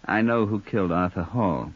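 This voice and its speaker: A deep, rough-edged hired gunman in an old radio play, heard through a dull, lo-fi recording. He is smug and sly, keeping a cool, unhurried politeness over open menace. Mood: sly, coaxing. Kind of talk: 1940s radio acting.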